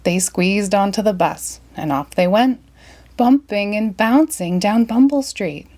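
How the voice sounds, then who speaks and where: A woman narrates a children's storybook with a very lively, swooping delivery. Her pitch sits in a middle range but moves a great deal as she tells the tale expressively and dramatically. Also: a little slow. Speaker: storybook reader.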